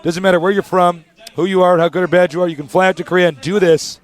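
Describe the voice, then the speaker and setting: A man's voice: high voice, steady tone, fast. A young man doing live esports play-by-play in a packed studio, hyped, joking and announcer-like. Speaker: esports commentator.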